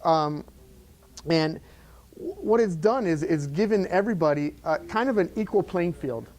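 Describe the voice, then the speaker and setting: A middle-aged man speaks to a live audience in an event room. His voice sits a little high for a man. He rambles in a friendly, conversational way, full of fillers and restarts. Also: expressive, normal speed, a little mellow. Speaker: entrepreneur giving a talk.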